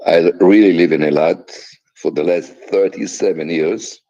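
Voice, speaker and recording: An older man from Poland chatting casually on a call, laughing often and speaking haltingly. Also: deep voice, dark tone. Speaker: guest. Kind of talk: casual chat. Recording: dull lo-fi call audio.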